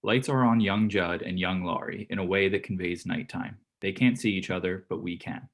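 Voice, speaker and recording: A man's voice: low voice, moderate pace. A man reading stage directions as scripted narration for a play.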